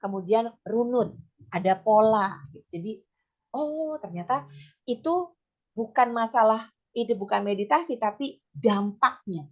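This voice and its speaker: A middle-aged woman with a slightly hoarse voice, giving an informal teachers' workshop talk in a chatty, step-by-step way sprinkled with English terms. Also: medium pitch. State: slightly hoarse, voice not back to normal. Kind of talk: informal training talk with personal examples.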